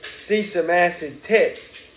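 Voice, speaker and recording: A man reading aloud and voicing a blunt, brassy blonde woman at a bar who speaks slowly and drawls. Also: high-pitched, a bit bright, roomy sound, a little hiss or hum, voice pushed up.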